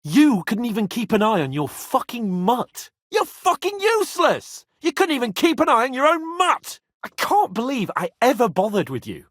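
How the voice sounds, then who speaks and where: A man's voice: very high-pitched, wildly swooping pitch, moderate pace, hardly any pauses, a bit bright, no echo, clean studio sound, full character voice. An actor playing a haughty, hot-tempered young medieval nobleman in a video game, with a petulant, exclamatory manner. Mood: angry, contemptuous. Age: young adult.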